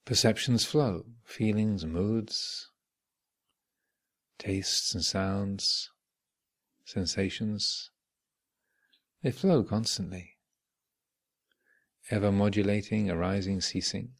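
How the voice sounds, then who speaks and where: A man leading a guided meditation as a teacher, speaking in measured, contemplative short phrases with long reflective pauses. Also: fairly low pitch, gravelly. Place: meditation retreat hall.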